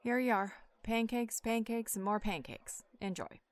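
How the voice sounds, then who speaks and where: A woman's voice: fairly high pitch, moderate pace, no room sound, lightly acted. An actress playing a sassy, flirtatious part-wolf woman with a menacing edge, sardonic and playful, in an audio roleplay.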